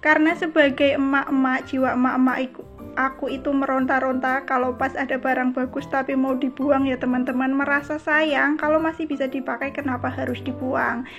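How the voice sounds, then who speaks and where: Indonesian housewife doing chatty vlog voiceover, ngapak javanese accent, high voice, pretty even tone, music behind. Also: female voice, a little fast. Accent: Javanese Ngapak (Banyumasan, Cilacap). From Cilacap, Central Java, Indonesia. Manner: chatty, often addresses viewers as friends. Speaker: homemaker vlogger. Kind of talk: casual chatty vlog voice-over. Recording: slightly dull voice-over under music. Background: background music.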